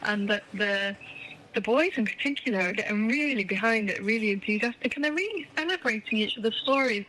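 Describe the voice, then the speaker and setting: A woman's voice: a little high, a bit bright, low-quality recording. Effusive woman guest with noticeable background noise. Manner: effusive, emphatic, repeats phrases for emphasis. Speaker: interview guest, children's storytelling project founder. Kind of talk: enthusiastic radio interview answers.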